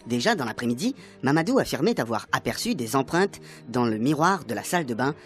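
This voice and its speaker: A narrator telling a radio serial recap in a tongue-in-cheek storytelling way. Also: medium pitch. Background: music.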